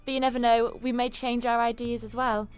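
A woman's voice: a little high, little pitch movement, fairly quick, soft background music. A young woman from northeast England, a student co-hosting a podcast, chatting enthusiastically with a nasal, cold-stuffed voice. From Darlington, northeast England. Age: young adult. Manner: enthusiastic, giggly. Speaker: podcast co-host. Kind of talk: casual chat.

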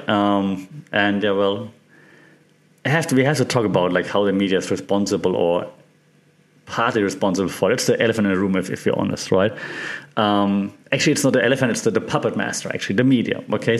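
A man with a German accent talks as a solo podcast host at an average male pitch. He explains things informally and conversationally. His delivery is halting, with repeated words and small check-ins aimed at the listener.

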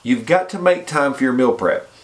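A man's voice: mid-range voice, expressive, moderate pace. Congested man giving casual fitness tips. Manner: chatty, repeats points and checks in with "okay?". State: congested from a head cold. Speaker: fitness vlogger. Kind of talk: casual advice talk to camera.

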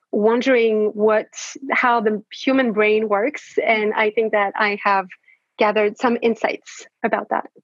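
A woman speaks English as a podcast guest, thoughtful and measured. Her fairly high voice comes through dry and slightly dull. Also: dry recording. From France. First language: French. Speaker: guest, life coach. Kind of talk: podcast interview.

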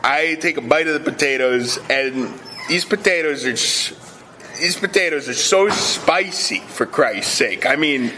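A man playing an indignant Italian-American Bronx guy, telling his tale haltingly and repetitively in a radio comedy sketch. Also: fairly high pitch, noisy background, lightly acted. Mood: exasperated.

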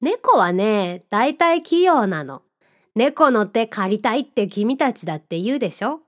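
A woman voicing a friendly, contented talking cat who runs a drink stall in an audio drama, speaking gently and politely with a playful touch. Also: fairly high pitch, very animated, measured pace. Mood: playful, proud. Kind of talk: Japanese audio drama acting.

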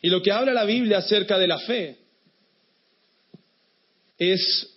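A man from Chile with a blended Chilean-Ecuadorian-Uruguayan accent preaches to a congregation. His voice sits a bit high and he sounds relaxed but encouraging. The recording is dull and lo-fi. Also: slow. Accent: mixed Chilean, Ecuadorian and Uruguayan. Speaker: guest pastor. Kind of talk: sermon. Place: church in Quito.

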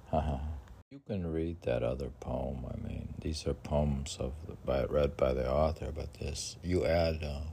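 Man, low gravelly voice, halting, rambling poetry podcast, faint background noise. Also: dark tone. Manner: rambling, halting, talks to himself in questions and answers. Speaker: poet reading and chatting on own podcast.

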